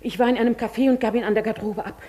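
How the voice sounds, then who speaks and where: A woman's voice: on the high side, steady tone, rapid-fire, dark tone, natural voice. An actress in a German radio play, playing a distraught, genteel lady with a formal, anxious manner. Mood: confused, dejected.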